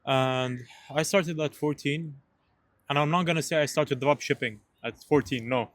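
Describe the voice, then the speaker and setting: A man's voice: a little high, normal speed, a bit bright. A nineteen-year-old man from Dubai in a casual podcast conversation, talking informally and leaning on "you know" and "basically". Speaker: podcast host and entrepreneur telling his story.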